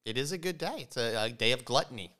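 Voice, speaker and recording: A man co-hosting a casual podcast chat while sick with a runny nose, relaxed and joking, often restarting his sentences. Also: mid-range voice, fast talker. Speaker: podcast co-host.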